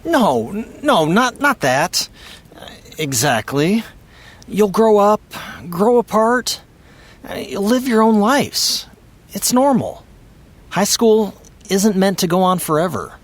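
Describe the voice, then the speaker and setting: An actor playing a kindly middle-aged father who stammers as he tries to soften hard news. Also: medium pitch, sing-song, slow. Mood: soothing. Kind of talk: audio drama acting.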